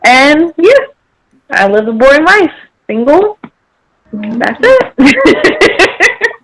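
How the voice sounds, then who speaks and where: A woman's voice: sits high, big pitch swings, very slow, a bit bright, rough recording. A woman in her thirties from North Carolina, introducing herself casually with chuckles. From Raleigh, North Carolina. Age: 30s. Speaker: podcast guest.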